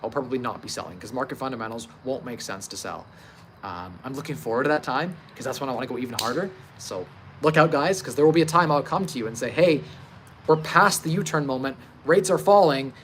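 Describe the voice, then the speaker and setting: A thirty-year-old man hosting a casual livestream about investing, speaking informally, emphatically and confidently. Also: mid-range voice. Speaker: livestream host, real estate investor. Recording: clear steady background ambient noise.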